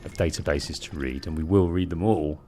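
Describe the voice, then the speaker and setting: A British man with a deep, bassy voice giving measured, polite storytelling commentary as he streams a game, close on the microphone. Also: mellow, slight background noise. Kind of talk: let's play commentary, storytelling. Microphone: close bass-heavy streaming microphone.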